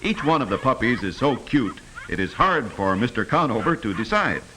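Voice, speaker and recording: A man delivers earnest vintage educational film narration in a bright, forward voice. His pitch swings expressively as he tells things simply for children. The old 1950s soundtrack carries some noise. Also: sing-song, normal speed, rough recording.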